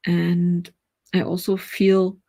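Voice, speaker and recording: A woman's voice: lowish voice, monotone, takes it slowly, creaky, mellow, slightly rough, no echo, clean studio sound. A middle-aged German woman with a German accent, chatting casually and rambling as she hosts a writing livestream, often laughing.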